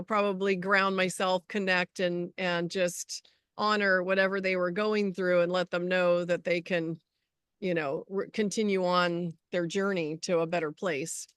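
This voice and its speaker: A woman's voice: fairly low pitch, fairly even intonation, unhurried, a bit bright, clear, resonant tone, no echo, clean studio sound. A middle-aged woman co-hosting a spiritual talk show, telling stories in a casual, rambling way. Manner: rambling, anecdotal storytelling. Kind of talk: casual conversational chat.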